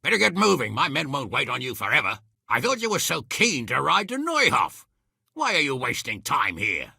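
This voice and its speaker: Gravelly, blustering medieval lord. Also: male voice, medium pitch, wildly swooping pitch, normal speed, pushed and bright, no room sound, studio quality, heavily acted. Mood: impatient. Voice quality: gravelly, husky. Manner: commanding, colourfully cursing, hearty. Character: blustering, shrewd medieval lord, gruff and quick-tempered. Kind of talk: video game character acting.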